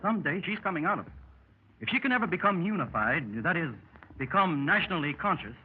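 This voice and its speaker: An actor playing a seasoned American secret service adventurer, recounting exploits with matter-of-fact bravado in a 1930s radio drama, on an old recording. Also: male voice, on the high side, big pitch swings, fast. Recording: muffled, noisy vintage 1930s radio recording.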